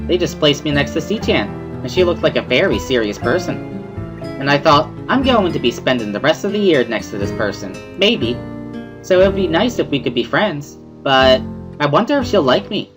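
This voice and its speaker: A man voicing a bubbly, playful, energetic teenage schoolgirl in an amateur visual novel reading. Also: on the high side. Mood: friendly, reminiscing. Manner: playful, cheery, exclaiming. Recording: music behind the voice.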